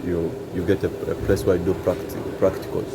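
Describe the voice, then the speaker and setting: Deep-voiced man from Congo, halting speech. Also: little pitch movement, heavy background noise. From Congo, grew up in Uganda. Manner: halting, many restarts and "you know". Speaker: bus mechanic. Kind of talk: casual interview answers. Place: large vehicle workshop.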